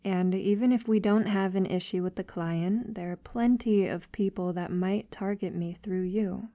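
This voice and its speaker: A woman playing a centuries-old, wealthy vampire lover in an intimate ASMR audio roleplay, soft-spoken and half-whispering with hesitant pauses. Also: medium pitch, fairly even intonation, no room sound, close to an everyday voice. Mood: concerned, affectionate.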